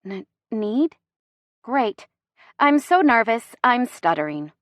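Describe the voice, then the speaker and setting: A woman's voice: fairly high pitch, lively intonation, normal speed, no echo, clean studio sound, natural voice. A woman voicing a sassy, easily flustered young heroine with bantering, defiant delivery in a romance audiobook. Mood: nervous.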